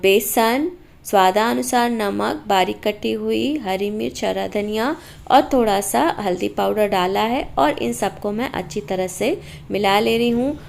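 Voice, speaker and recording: A middle-aged woman narrates a homemaking vlog in a casual, everyday style. Her voice sits at an average pitch for a woman and carries a sore throat from a cold. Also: a little slow, creaky. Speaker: homemaker vlogger and mother. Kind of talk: casual narration of a daily routine and cooking vlog.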